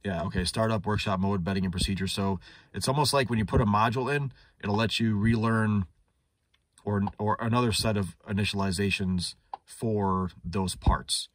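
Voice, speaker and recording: A man's voice: fairly low pitch, moderate pace, dry recording. A man doing a casual, unscripted product demonstration as an automotive technician, thinking aloud in a conversational way. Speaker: automotive technician reviewing a scan tool. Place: inside a parked car in a shop.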